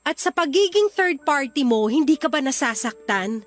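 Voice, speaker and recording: A woman's voice: sits high, expressive, moderate pace. A woman playing a caring but exasperated friend in a Filipino radio drama, earnestly admonishing with a Filipino accent. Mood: exasperated, scolding. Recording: dramatic music behind the voice.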